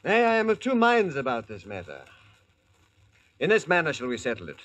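A man's voice: medium pitch, wildly swooping pitch, a little fast, a bit bright, no room sound. An actor playing a lordly, fair-minded king, declaiming in archaic style in 1940s-style radio drama. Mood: commanding.

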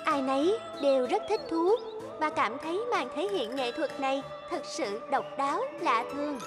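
A woman narrating a cartoon fairy tale in a calm, even storytelling manner, over loud music. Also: sits high, moderate pace.

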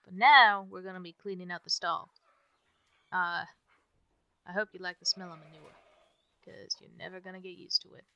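A woman's voice: lowish voice, steady tone, moderate pace, gravelly, pauses often, sharp tone, clean tone, lo-fi sound. A woman playing an easygoing young ranch hand in an ASMR roleplay, chatting in a folksy way to a newcomer. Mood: easygoing, teasing. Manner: folksy, drawling phrasing with ain't and gotta. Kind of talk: ASMR roleplay, one-sided chat. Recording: background noise with farm animal ambience.

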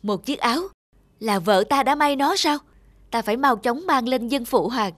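A woman's voice: on the high side, moderate pace, fairly bright tone, no echo, clean studio sound. A woman voicing an anxious, naive young prince in a storybook cartoon, hesitant and questioning. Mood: surprised.

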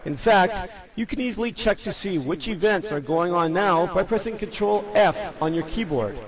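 A man with a fairly high-pitched voice narrates a step-by-step software tutorial. He speaks as a presenter addressing his viewers. A clear murmur of a crowd sits behind his voice. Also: normal speed.

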